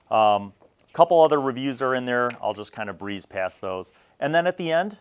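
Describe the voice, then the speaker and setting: A middle-aged man hosting a casual review, talking in an enthusiastic, emphatic and conversational way. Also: mid-range voice. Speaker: host of a tabletop gaming review series.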